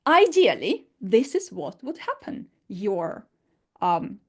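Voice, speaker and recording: A woman's voice: medium pitch, big pitch swings, slow, husky. A woman teaching an unscripted online lesson in English, her fourth language, explaining emphatically with rhetorical questions. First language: not English.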